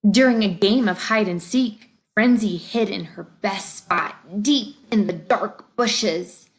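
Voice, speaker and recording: A woman reading a children's story aloud in expressive narration. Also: mid-range voice, takes it slowly, rough recording. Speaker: storybook reader.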